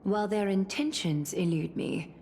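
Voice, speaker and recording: An actress playing a solemn, composed noblewoman leader in a video game, formal, measured and reserved. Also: mid-range voice, animated, slight background noise, natural voice. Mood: stern, composed. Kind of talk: scripted video game voice acting. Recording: loud background music. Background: music.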